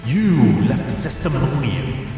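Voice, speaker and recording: A man voicing an angry, corrupt mirror version of a character, with a slow, accusing, sing-song delivery. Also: medium pitch, very animated, warm tone, pitched above the performer's own voice. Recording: echoey, reverberant, distant from mic. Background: music.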